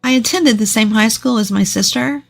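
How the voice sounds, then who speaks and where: A woman's voice: on the high side, normal speed, vocal fry, soft background music. A woman narrating a scripted first-person story.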